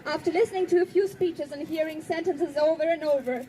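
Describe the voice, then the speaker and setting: High-pitched woman giving a speech. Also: fairly even intonation, dark tone, slight background noise. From Germany. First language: German. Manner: earnest, pointed, slows down for emphasis. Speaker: activist speaker. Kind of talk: prepared speech to an audience.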